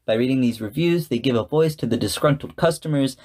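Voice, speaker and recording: Male podcast critic, medium pitch, scripted and articulate, enthusiastic delivery with a touch of theatre. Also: brisk, a little mellow. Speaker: podcast host and reviewer.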